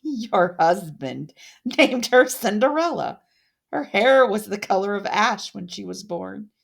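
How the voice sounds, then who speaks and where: A woman voicing a cruel, gloating witch villainess in a fairytale, speaking slowly and with menace. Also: mid-range voice, animated, creaky, exaggerated voice. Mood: mocking pity. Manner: slow, menacing, cackling. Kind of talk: dramatic fairytale storytelling.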